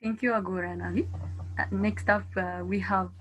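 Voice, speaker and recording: A woman's voice: mid-range voice, fairly even intonation, fairly quick, fairly bright tone, poor audio. A woman chairing a formal online meeting, introducing each participant in turn. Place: online video call. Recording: online call with faint noise, clicks.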